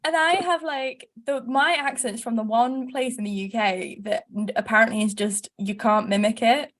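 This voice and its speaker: A woman from Nottingham with a standard English university accent, cohosting a casual book chat over a video call and talking lively with laughing asides. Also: on the high side, normal speed. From England, Nottingham.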